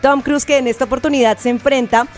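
A woman's voice: high voice, fairly even intonation, quick pace, faint music behind. A woman presenting film news on a morning show, enthusiastic and fluent. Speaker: film critic. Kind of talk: entertainment segment chat.

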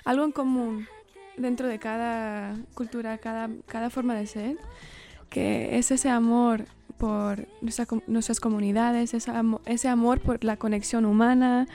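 A woman's voice: fairly high pitch, flat delivery, unhurried, dark tone. A young woman from California speaking Spanglish-tinged Spanish with an American English influence, giving earnest, reflective answers as a singer-songwriter in an interview. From California, United States.

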